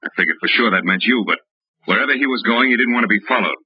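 An actor playing a hardboiled, wry private detective in 1940s radio acting, tough and clipped. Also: male voice, lowish voice, fast talker, slightly rough, dry recording. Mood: urgent, tough. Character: hardboiled, wry male private detective narrating his case. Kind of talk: 1940s radio acting, scripted first-person narration. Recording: muffled old radio recording with music.

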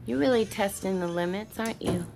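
Actress as creaky-voiced, teasing mature woman. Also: medium pitch, a little fast, background noise, close to an everyday voice. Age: middle-aged or older. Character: frank, teasing mature woman, mother of a grown daughter. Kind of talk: naturalistic audio drama acting.

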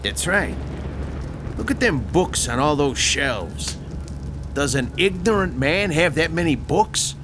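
Boastful Brooklyn mob boss. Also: male voice, a little high, lively intonation, takes it slowly, background noise. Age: 30s. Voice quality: rough tough-guy diction, corrects his own grammar. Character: vain self-taught male mob boss, thirty, touchy. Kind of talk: radio drama acting. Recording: radio drama with music behind.

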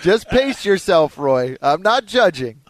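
A man's voice: high voice, sing-song, a little slow, dry recording. A man hosting a sports call-in radio show, chatting and taking listeners' calls.

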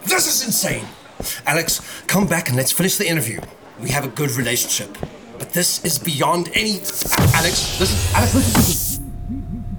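A man's voice: a little high, very animated, normal speed. An actor playing a brash, self-important male talk show host and journalist, theatrical and insistent, in an audio drama. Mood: pleading. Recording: loud music underneath.

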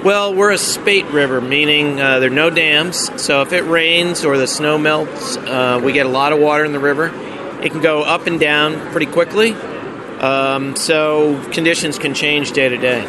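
An American man working in marketing for a fishing lodge, chatting casually in an interview, relaxed, with lots of ums and dry humor. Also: a little high, moderate pace. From United States. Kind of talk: casual interview at an outdoor event. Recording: noisy outdoor street recording.

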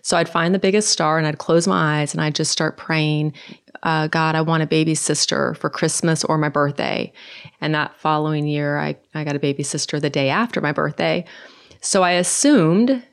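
A middle-aged woman from Norway with a Norwegian accent, soft-spoken and hesitant, telling her personal story in a conversation. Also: low voice, steady tone, moderate pace, clean tone. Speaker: guest.

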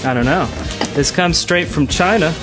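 A man's voice: on the high side, normal speed. A man hosting a podcast in a casual, conversational style. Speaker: podcast host. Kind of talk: casual gaming podcast chat. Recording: music clearly playing behind the voice. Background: music.

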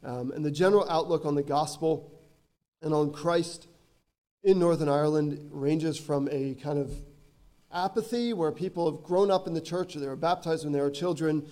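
Hoarse missionary man, mellow voice. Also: on the high side, normal speed. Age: 30s. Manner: earnest, frequent ums. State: hoarse from a cold. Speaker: visiting missionary. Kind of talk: church missionary talk. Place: church sanctuary.

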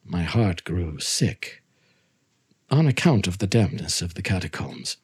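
Male narrator for gothic fiction: husky voice, highly animated intonation, intense, emphatic theatrical delivery. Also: a little slow. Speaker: host reading a story aloud. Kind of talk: dramatic literary narration.

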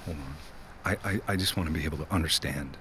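A man's voice: a bass voice, quick pace, bright, forceful tone, slight background noise. An actor playing a heartbroken, awkward night-shift worker in his twenties, downcast and hesitant, in a naturalistic audio drama. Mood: hurt, pleading. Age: 20s.

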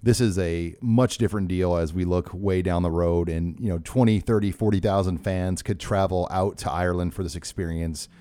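Deep, mellow middle-aged man; enthusiastic sports talk host. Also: steady tone, normal speed, few pauses, no echo, clean studio sound. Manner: enthusiastic, chatty, some stumbles. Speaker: radio show host.

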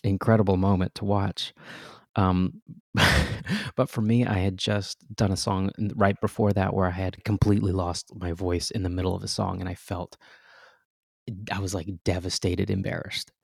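Gravelly low-voiced man telling a story. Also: level tone, moderate pace, dry recording, clean studio sound. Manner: chatty, self-deprecating, laughs often. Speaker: guest, stage performer. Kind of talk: casual interview storytelling.

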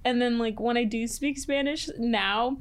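A woman from Mexico hosting a casual podcast, her voice sitting a little high for a woman. She talks in loose, filler-packed sentences and laughs easily between them. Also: a little slow. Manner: laughs often, filler-heavy. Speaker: podcast host. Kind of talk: casual chat.

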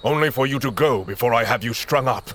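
A man's voice: medium pitch, fairly quick, a bit bright, slight background noise, natural voice. An actor playing a stern, contemptuous middle-aged lord and domineering father in a fantasy audio drama, speaking in a formal, commanding manner. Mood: cold, scornful.

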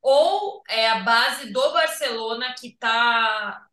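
A Brazilian Portuguese-speaking woman hosting a casual sports chat, animated and rambling, often restarting her thoughts. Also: on the high side, slow. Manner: animated, rambling, thinks aloud with many restarts. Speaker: podcast host. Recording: roomy, a bit far from the mic.

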